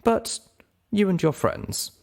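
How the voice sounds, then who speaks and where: A playful, affectionate grown pony mare from a story, voiced by a man, talks in a raised pitch. Her teasing lines move up and down freely. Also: very animated, takes it slowly, creaky, a little mellow, heavily acted. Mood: fond, earnest. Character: teasing, affectionate adult female pony lover.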